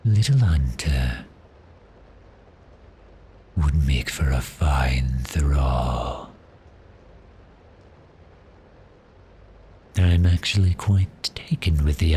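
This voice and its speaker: A man playing a predatory vampire in an intimate ASMR roleplay, teasing and menacingly gentle, with a breathy, half-whispered voice. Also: rumbling low, slight background noise, exaggerated voice. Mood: predatory, tempted. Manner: slow, teasing, menacingly gentle, lingering pauses. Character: cheerful, predatory male vampire, silkily toying with prey.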